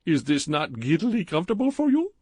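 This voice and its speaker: A man voicing a flirtatious, pompous middle-aged male hotel clerk with a put-on Indian accent, in a high, sing-song, overly formal way. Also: sing-song, brisk, darkish tone, husky. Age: 40s.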